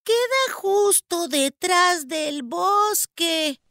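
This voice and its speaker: A woman's voice: extremely high pitch, lively intonation, extremely slow, sharp tone, dry recording, studio quality, pitched above the performer's own voice, heavily acted. A woman voicing a terrified young village boy in a storybook, speaking with fearful dread. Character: terrified village boy telling ghostly rumours.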